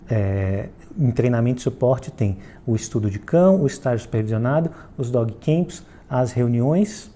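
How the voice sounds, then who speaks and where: A man's voice: mid-range voice, warm tone. A Brazilian man from Salvador giving an explanatory question-and-answer talk as a franchise founder, in a didactic way with frequent check-in tags. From Salvador, Bahia, Brazil.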